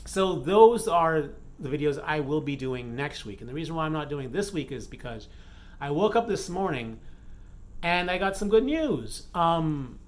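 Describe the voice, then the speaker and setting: A man from the Bahamas talks through a casual vlog update in a loose, rambling way. He fills pauses with ums and uhs and often restarts his sentences. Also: fairly high pitch, big pitch swings. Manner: rambling, frequent fillers and self-corrections. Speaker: romance author and vlogger.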